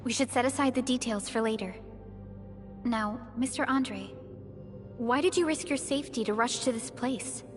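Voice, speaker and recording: Composed, high-voiced young female supervisor played by an actress. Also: moderate pace, music in the background, lightly acted. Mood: calm, formal. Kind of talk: video game voice acting.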